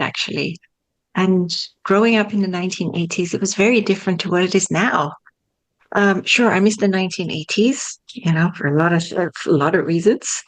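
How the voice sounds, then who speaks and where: A woman in her forties from the Netherlands, a spiritual coach chatting openly in an interview, joking and using many fillers. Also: on the low side. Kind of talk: casual interview chat.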